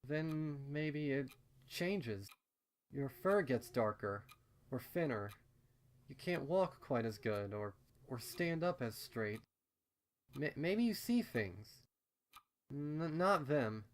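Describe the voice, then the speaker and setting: A man's voice: medium pitch, sing-song, takes it slowly, long pauses. A man voicing a nervous, rambling young female pony with a hesitant, stammering, earnest manner in a fan fiction story. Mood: ominous, hesitant. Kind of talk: acted fan fiction reading.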